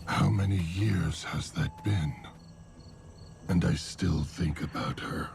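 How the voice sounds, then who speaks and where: A very deep, gravelly killer clown. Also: male voice, noisy background, heavily acted. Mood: brooding menace. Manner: slow, menacing, brooding monologue. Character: obsessive murderous male killer clown, brooding and sadistic. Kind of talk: dark video game cutscene narration. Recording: loud music under the voice. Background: loud cinematic game music.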